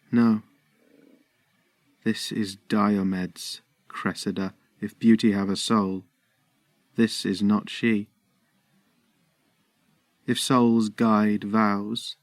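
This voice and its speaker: An actor playing a heartbroken, disbelieving young Trojan prince, halting and declamatory in Shakespearean verse. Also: male voice, on the low side, vocal fry, no room sound, full character voice. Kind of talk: theatrical Shakespearean verse acting.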